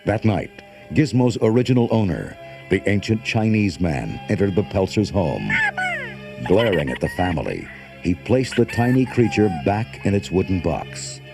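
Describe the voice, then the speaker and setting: Dramatic male storybook narrator, lively low voice. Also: moderate pace. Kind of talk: scripted children's storybook narration. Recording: old cassette tape with music behind.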